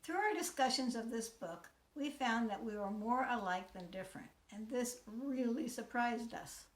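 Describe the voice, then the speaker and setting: A woman in her sixties from the United States, a teacher giving a scripted talk in a measured, earnest way. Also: a little high, echoey room, low-quality recording. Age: 60s. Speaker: teacher and mentor. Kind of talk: scripted talk, read aloud.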